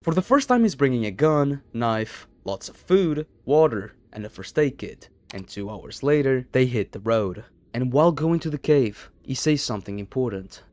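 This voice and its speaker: A man's voice: medium pitch, animated, moderate pace, few pauses, darkish tone, no room sound. Male horror video narrator, dramatic and very varied intonation, dry studio-like sound. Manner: dramatic storytelling. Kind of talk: scripted horror-story narration.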